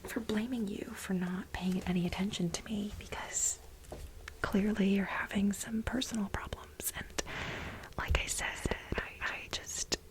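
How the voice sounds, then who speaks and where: A woman playing a clingy, unhinged millennial office assistant in a breathy, halting, soft-spoken ASMR role play. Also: mid-range voice, fairly even intonation, close to an everyday voice, poor audio. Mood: sheepish, reproachful. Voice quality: breathy, whispery, creaky. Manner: halting, dramatic, self-justifying.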